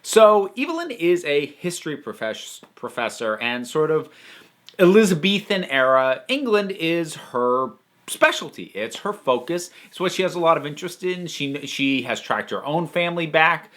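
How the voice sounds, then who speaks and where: A man's voice: a little high, big pitch swings, normal speed, fairly bright tone. A man hosting a casual review monologue, talking in an enthusiastic, emphatic, conversational way. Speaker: host and reviewer.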